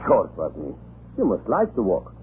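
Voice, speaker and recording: An actor playing a talkative, irreverent Russian government official in a radio drama, chatty, excitable and theatrical. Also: male voice, lowish voice, normal speed. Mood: jovial, chatty. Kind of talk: 1940s radio drama acting. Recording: muffled, noisy old radio recording.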